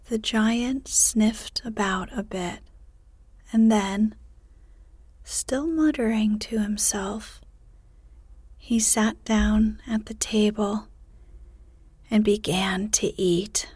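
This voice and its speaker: A woman reading a fairy tale aloud in a soft, unvoiced whisper close to the mic. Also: mid-range voice, steady tone, extremely slow, long pauses, breathy edge, no room sound. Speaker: storyteller.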